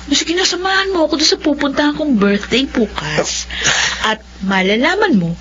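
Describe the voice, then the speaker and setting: High-pitched, coy, scheming young woman. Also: expressive, moderate pace, fairly bright tone. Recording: muffled lo-fi drama audio with music.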